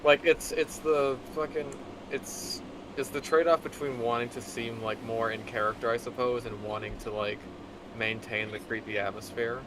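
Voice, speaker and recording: A man chatting casually as a guest commentator, halting and agreeable. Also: medium pitch. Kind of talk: casual chat. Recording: noisy mic with steady background hum.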